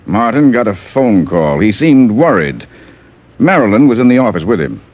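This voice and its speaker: Polite, anxious radio-drama man, lively pitch. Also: moderate pace. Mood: earnest, uneasy. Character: polite, anxious nightclub associate, adult man seeking help. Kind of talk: 1940s-50s radio drama acting. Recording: dull vintage radio recording.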